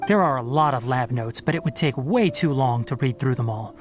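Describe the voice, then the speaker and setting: Man voicing a calm analytical young ranger. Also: medium pitch, fairly quick, natural voice. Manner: composed, analytical, precise. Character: calm young male forest ranger scholar. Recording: game audio with faint music.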